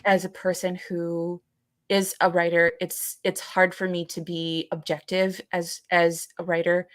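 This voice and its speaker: Young woman podcast co-host, slightly low voice in a dry recording; thoughtful, conversational, circling back over her phrases. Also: level tone, dry recording. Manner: halting, repeats phrases while thinking. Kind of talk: reflective casual conversation.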